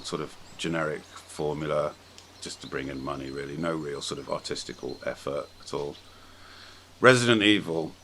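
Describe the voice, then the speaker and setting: A man hosting a casual podcast discussion about films, giving blunt opinions in halting, hesitant phrases. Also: deep voice, fairly bright tone, slight background noise. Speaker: podcast host.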